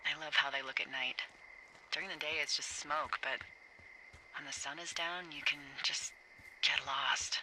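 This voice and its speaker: A woman's voice: deep voice, fairly even intonation, moderate pace, sharp tone, slight background noise, rough recording. A woman with an American accent playing a wry, flirtatious fire lookout in a video game, talking candidly over a two-way radio. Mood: tender, flirtatious. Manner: wry, teasing, conversational.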